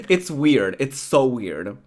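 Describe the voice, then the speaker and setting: A woman's voice: extremely low pitch, darkish tone. Giggly Portuguese woman with American accent. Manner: giggly, rambling, says "like" and "um" a lot. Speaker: streamer. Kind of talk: casual chat with live viewers.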